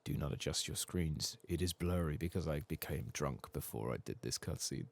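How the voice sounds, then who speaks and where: A soft-spoken man muttering playful, sweary, self-mocking ASMR commentary as he hosts a video game playthrough. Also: extremely low pitch, normal speed, vocal fry. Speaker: host of a gaming playthrough channel.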